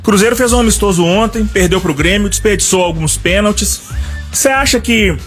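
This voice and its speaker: A man's voice: sits high, normal speed, soft background music. A man reporting from the street and interviewing a fan, chatty and upbeat.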